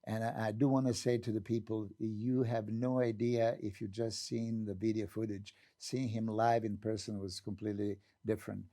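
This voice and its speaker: Older serbian guy, accent, gravelly dark voice, dry close sound, lots of uh's. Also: fairly low pitch, little pitch movement, moderate pace, hardly any pauses, no room sound. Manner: earnest, hesitant with many fillers. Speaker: guest, former pro bodybuilder. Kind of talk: storytelling interview answer.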